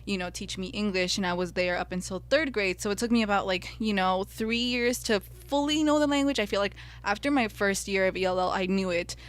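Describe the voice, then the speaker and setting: A young woman whose first language was Spanish speaks earnestly about her own life as a podcast co-host. Her voice sits in a middle pitch, and she tells her story in a sincere, reflective way. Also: a little fast, few pauses. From United States. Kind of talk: personal reflective podcast talk.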